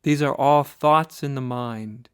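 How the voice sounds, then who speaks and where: An American man teaches meditation in a clear, smooth voice at a medium pitch. He speaks very slowly and deliberately, setting down short phrases with pauses between them. The recording is dry, with little room sound. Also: no room sound. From United States. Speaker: spiritual teacher leading guided meditation. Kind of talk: guided meditation instruction.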